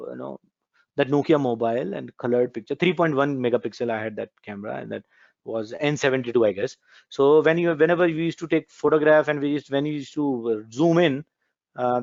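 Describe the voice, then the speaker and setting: A man's voice: medium pitch, a little mellow, no room sound, lo-fi sound. Man lecturing with many hesitant fillers. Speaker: corporate trainer. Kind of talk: recorded training lecture.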